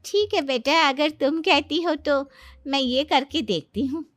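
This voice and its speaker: A woman's voice: sits high, unhurried, fairly bright tone, dry recording, studio quality, soft background music. A woman voicing a chatty elderly lady friend in a cartoon family drama. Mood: complaining.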